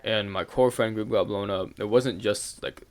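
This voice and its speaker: A man's voice: fairly low pitch, normal speed. A young man hosting his channel, telling a personal story in a candid, rambling way, with fillers, swearing and occasional laughs. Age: young adult. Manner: laughs now and then while talking. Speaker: channel host. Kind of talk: casual storytelling rant.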